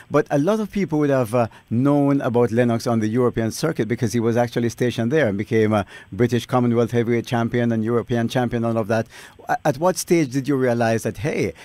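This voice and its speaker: Male radio host, dry close studio sound, measured questions with fillers. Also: medium pitch, darkish tone, dry recording. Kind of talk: radio interview.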